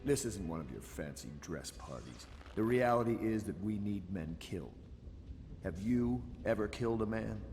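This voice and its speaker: A stern, deep-voiced navy admiral. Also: male voice, animated, normal speed, darkish tone, a little hiss or hum. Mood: blunt, stern. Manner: blunt, authoritative. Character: stern, blunt, commanding navy admiral leading loyalist rebels. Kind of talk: scripted video game dialogue. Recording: long hall reverb under music.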